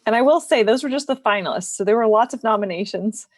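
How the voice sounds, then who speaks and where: A woman moderating a virtual panel in a brisk, conversational way over a video call. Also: fairly high pitch, no echo, clean studio sound.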